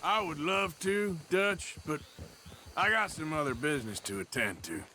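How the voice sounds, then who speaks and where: Dry, drawling American outlaw. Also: male voice, a little high, animated, moderate pace, pushed and bright, a little hiss or hum. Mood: wry. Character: rugged, dry, world-weary outlaw gunman. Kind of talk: cinematic western game acting.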